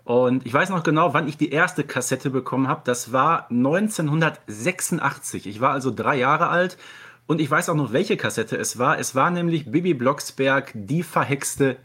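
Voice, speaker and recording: A man in his late thirties with a husky voice, chatting casually as a podcast panellist. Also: mid-range voice, moderate pace, pushed and bright. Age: late 30s. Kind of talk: casual chat, personal recollection.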